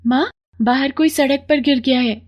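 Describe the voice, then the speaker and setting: An innocent six-year-old girl, acted out by a woman in a storybook tale. The voice is pitched high and pleads in a plaintive, childlike way. The sound is a little muffled. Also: normal speed, close to an everyday voice. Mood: pleading.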